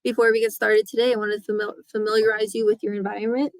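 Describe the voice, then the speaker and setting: A woman facilitating a webinar, reading a scripted introduction in a steady, procedural way. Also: mid-range voice, fast, no room sound. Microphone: webinar microphone.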